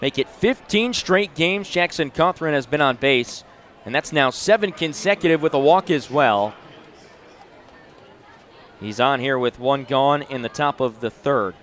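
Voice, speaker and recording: A man's voice: medium pitch, expressive, measured pace, a bit bright, a faint crowd. A man in his twenties doing live play-by-play sports commentary in short, punchy announcer phrases. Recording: noisy live venue sound.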